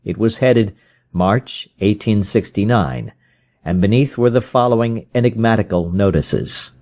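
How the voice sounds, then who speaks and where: A man's voice: on the low side, measured pace, darkish tone. A man reading a classic detective story aloud as scripted narration. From United States. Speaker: audiobook reader.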